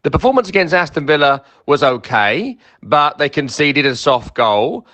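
A man with a fairly high, very bright and forceful voice delivers a football opinion piece. He speaks as an exasperated fan and broadcaster, hammering his points in short, emphatic sentences. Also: sing-song, normal speed. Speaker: broadcaster and football fan giving a fan's report.

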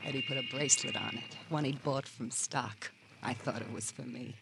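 An actor playing a jilted, sardonic auction-shop worker in an American radio crime drama, with a theatrical, world-weary drawl and an American accent. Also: male voice, medium pitch, normal speed, vocal fry, close to an everyday voice. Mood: wounded. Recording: noisy old radio drama recording.

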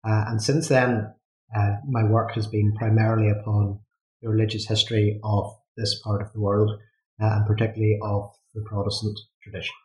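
A man with a Northern Irish accent, a historian as an interview guest, speaking in a measured, thoughtful way with frequent ums. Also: fairly low pitch, level tone, darkish tone. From Northern Ireland. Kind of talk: academic interview. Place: university office. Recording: roomy echo.

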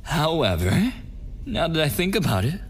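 A man's voice: on the high side, big pitch swings, husky. A man playing a possessive, unhinged, murderous kidnapper boyfriend in an ASMR audio roleplay, menacing and often slipping into whispers. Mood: sweetly threatening. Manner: slow, drawn-out menace slipping into whispers and giggles.

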